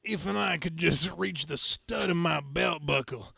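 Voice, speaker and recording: A man's voice: a little high, unhurried, gravelly, sharp tone, slightly rough, dry recording, exaggerated voice. A man voicing a folksy, good-old-boy trucker superhero in an amateur comic-book reading. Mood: resigned.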